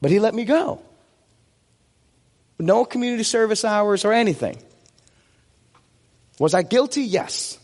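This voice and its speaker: Male preacher, forties, native Vietnamese speaker, high voice, conversational sermon delivery, rhetorical questions, long hall reverb. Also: animated, a little slow, pauses often. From United States. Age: 40s. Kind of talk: sermon with personal anecdotes.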